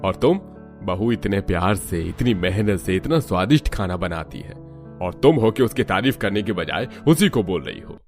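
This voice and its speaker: Casting: man playing older cartoon father-in-law, chiding, lowish animated delivery, music bed. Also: moderate pace. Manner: chiding, protective. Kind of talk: Hindi cartoon story dialogue. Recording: music behind the voice.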